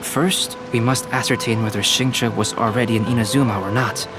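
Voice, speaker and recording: A man voicing a calm, analytical young man in a video game, speaking in a measured, formal, deliberate way. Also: mid-range voice, over music, natural voice. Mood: cautious, analytical. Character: calm, analytical young male alchemist and illustrator.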